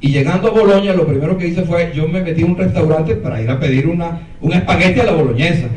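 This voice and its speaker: A Venezuelan man with a Venezuelan Spanish accent, giving a conference lecture as an animated storyteller who often restarts with fillers. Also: fairly high pitch, quick pace. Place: conference hall. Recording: roomy hall, mic far off.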